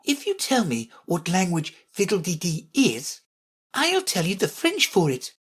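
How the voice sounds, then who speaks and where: A woman puts on an earnest little girl from a storybook, high and lilting. She is polite and careful, answering gravely and properly. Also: sing-song, a little slow, heavily acted. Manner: careful, grave, well-mannered.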